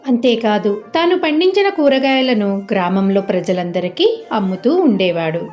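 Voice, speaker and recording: A woman's voice: mid-range voice, big pitch swings, normal speed, a little mellow. A woman narrating a scripted moral story for children as a storyteller. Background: faint music.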